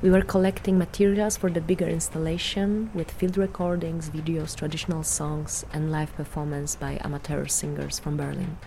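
A woman from Poland with a Polish accent, giving a measured, earnest prepared statement as an artist and vocal performer. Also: deep voice, little pitch movement, gravelly, warm tone, slight background noise.

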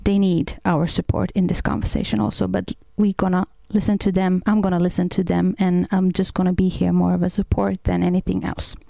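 A woman moderating a panel conversation, speaking with a low, gravelly, mellow voice in a flat, even tone. She sounds calm and supportive, and the recording is dry and close. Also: a little fast, hardly any pauses, no echo.

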